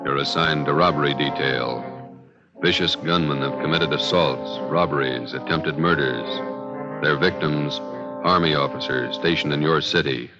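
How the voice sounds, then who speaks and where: Very deep, grave American radio announcer. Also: male voice, normal speed. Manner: grave, clipped. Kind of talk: solemn dramatic 1950s radio announcing. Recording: muffled old radio broadcast with music.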